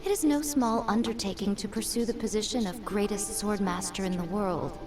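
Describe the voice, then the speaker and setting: An actress playing a wise, elegant shrine lady in a video game, speaking in a measured, lofty, faintly amused way. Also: mid-range voice, music in the background, natural voice. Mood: solemn.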